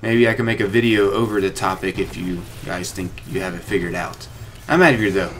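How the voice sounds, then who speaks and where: A man's voice: low-pitched, brisk, background noise. A young man hosting a gaming video with informal, conversational commentary.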